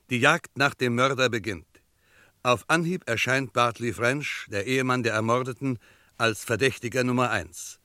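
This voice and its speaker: A man's voice: mid-range voice, sharp tone, no room sound, clean studio sound. A man narrating a 1960s radio play recap in a formal, precise, scripted manner.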